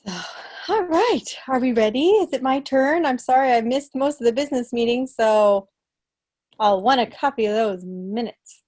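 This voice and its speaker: A woman's voice: a little high, moderate pace, a little mellow, no room sound. A young adult woman chatting casually as a club quiz host over a video call. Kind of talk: casual chat. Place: living room at home. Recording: noisy video-call audio with clicks.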